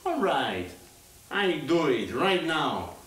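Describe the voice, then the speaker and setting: An actor playing a self-pitying, whining dictator general with a put-on mock Spanish accent in a radio play. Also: male voice, a little high, very animated, slow pace, fairly bright tone, full character voice. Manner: whining, theatrical sighs. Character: whiny self-pitying dictator general, middle-aged man. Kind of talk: 1980s radio play acting. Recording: roomy, distant studio sound.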